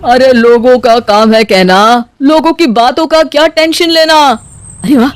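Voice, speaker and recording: A man voicing an easygoing, dismissive young husband in a cartoon comedy story, brushing things aside briskly. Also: very high voice, clear, resonant tone.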